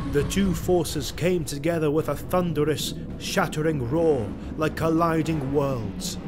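Man narrating a dark fantasy tale over music. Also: a little high. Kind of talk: dramatic scripted audiodrama narration.

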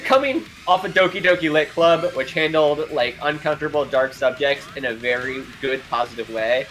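Bright-voiced young man, frank and chatty. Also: on the high side, normal speed. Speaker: fandub show co-host. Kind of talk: casual chat about a game's story. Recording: music behind the voice. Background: video game music.

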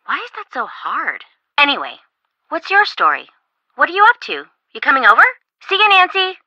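A woman's voice: high voice, normal speed, dry recording, muffled recording, exaggerated voice. An actress playing a bubbly, chatty, teasing young American woman, a shopping-loving best friend in a video game. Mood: bubbly, upbeat. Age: young adult. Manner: expressive, teasing, casual.

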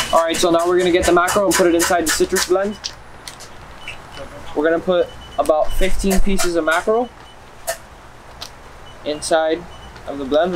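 A young man hosting a casual fishing vlog outdoors, talking enthusiastically to viewers and often repeating himself. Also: fairly high pitch. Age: young adult. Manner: enthusiastic, repetitive, addresses viewers as guys. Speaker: fishing show host. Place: outdoors, on a small boat and at a dock. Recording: noisy outdoor recording, boat and wind ambience.

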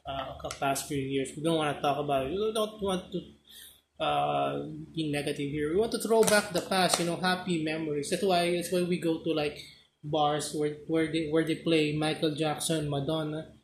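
A casual reviewer in their thirties speaks with a Filipino accent as a native Filipino speaker. The talk rambles and circles back on phrases at a middling pitch. The recording is echoey, with clear background noise. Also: steady tone, normal speed. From Philippines. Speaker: snack reviewer. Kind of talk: casual chat, mixing Tagalog and English. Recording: roomy echo, noisy background, clicks.

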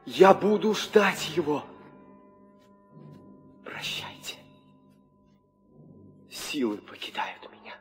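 A man's voice: a little high, very animated, takes it slowly, pauses often. An actor playing a wounded, loyal, dying king of eagles in a 1960s audio drama. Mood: failing. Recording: old studio recording under loud music.

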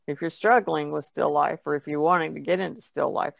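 A woman's voice: sits low, fairly even intonation, fairly quick, creaky, no room sound. A woman talking casually as an artist on a podcast. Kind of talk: casual chat.

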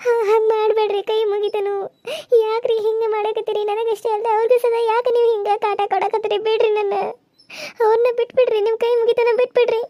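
Shrill, quick-talking rural woman, pleading and wailing , played by a woman. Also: level tone, no room sound, lo-fi sound. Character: frightened village women pleading with a bully.

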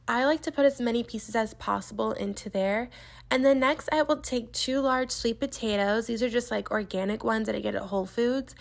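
A woman's voice: fairly high pitch, moderate pace, creaky. A young woman from the US East Coast, chatty and gushing, narrating a casual meal prep voice-over in her home kitchen. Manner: chatty and gushing, heavy use of "like". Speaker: vlogger.

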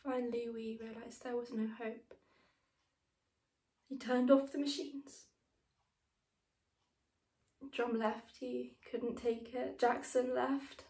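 A woman playing a tender, grieving mother in a dramatic monologue, halting and hushed. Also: fairly high pitch, little pitch movement, far from the mic. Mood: numb sorrow. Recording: roomy, echoing room sound.

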